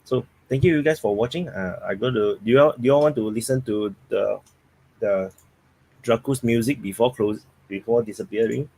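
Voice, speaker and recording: A man's voice: mid-range voice, normal speed, darkish tone, husky, rough recording. A man with a Singaporean accent hosting a live stream, rambling and thinking aloud while he reads viewers' chat.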